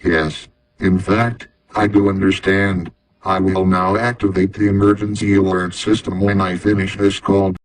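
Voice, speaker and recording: Guy playing the president, deep voice, grave n formal, bit far from the mic, amateur doomsday drama. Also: little pitch movement, unhurried. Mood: grave, composed. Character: grave, composed male President of the United States. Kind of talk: amateur disaster audio drama. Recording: distant from the microphone.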